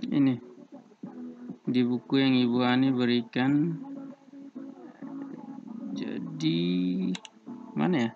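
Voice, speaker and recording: A young man with an eastern Indonesian accent, walking students through an assignment in a relaxed, halting way with many fillers. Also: mid-range voice. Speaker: civil engineering student tutor. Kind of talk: screen-recorded tutorial walkthrough. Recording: dull lo-fi mic with guitar music behind.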